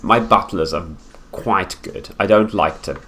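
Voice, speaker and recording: A haughty young prince, acted out by a man in an intimate ASMR roleplay, with a very low voice. He takes his time, drawing out phrases with condescending pauses and cool, possessive menace. A faint noise sits behind the voice. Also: a bit bright. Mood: smug, matter-of-fact. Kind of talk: improvised ASMR roleplay, addressing the listener.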